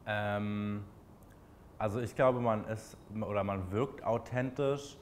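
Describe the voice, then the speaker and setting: Relaxed Berlin-accented young man, lowish voice. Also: slow pace, loud music behind the voice. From Berlin, Germany. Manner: relaxed, chatty, many fillers, laughs easily. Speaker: interviewee. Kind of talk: casual interview answers.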